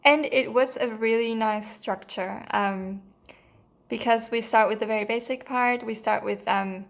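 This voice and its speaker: Hesitant Mexican woman, flat even voice. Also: fairly high pitch, unhurried, creaky. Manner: hesitant, many fillers and restarts, earnest. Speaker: course participant giving a testimonial. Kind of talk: unscripted spoken testimonial.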